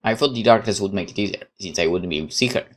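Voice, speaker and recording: A woman's voice: a bass voice, expressive, rapid-fire. A woman reading a visual novel aloud expressively, with casual commentary as she plays.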